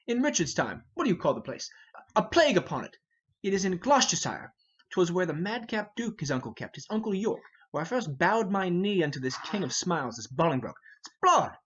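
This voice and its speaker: A man's voice: sits high, fairly bright tone, breathy edge, muffled recording, heavily acted. A man playing a hot-headed, impatient young nobleman, ranting in a declamatory Shakespearean stage reading. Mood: agitated, scornful. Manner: impetuous, declamatory. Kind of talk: dramatic reading of a Shakespeare play.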